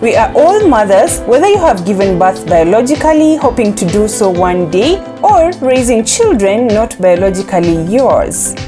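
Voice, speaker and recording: A woman's voice: mid-range voice, big pitch swings, moderate pace, few pauses. A woman with a Kenyan accent presenting entertainment news as a scripted voice-over, upbeat, reading posts aloud. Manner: upbeat, reads quotes aloud. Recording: voice-over with loud background music.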